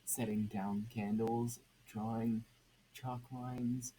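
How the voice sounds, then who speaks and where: Guy reading fan fiction, slow and kinda halting, repeats words, slight background noise. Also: medium pitch, flat delivery, warm tone. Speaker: podfic narrator. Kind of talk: amateur scripted narration. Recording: noisy amateur home recording.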